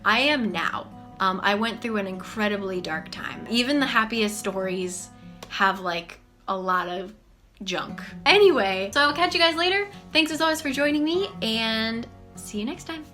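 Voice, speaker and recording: A woman in her twenties from the United States, chatting casually to camera in a small room, full of "like" and "um", animated. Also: on the high side, normal speed, soft background music. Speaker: vlogger. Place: small bedroom office, indoors.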